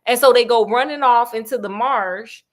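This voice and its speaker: A woman with a fairly high voice tells a classic story in a loose, chatty way. She keeps breaking off with sassy asides. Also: normal speed. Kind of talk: casual comic story retelling.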